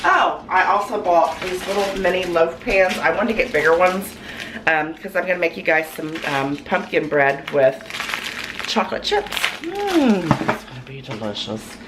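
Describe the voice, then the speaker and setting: A hoarse woman with a cold, chatting in a rambling, folksy way with lots of ums in her home kitchen. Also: on the low side, sing-song, normal speed. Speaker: cooking vlogger. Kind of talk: casual chat to camera. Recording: noisy home recording.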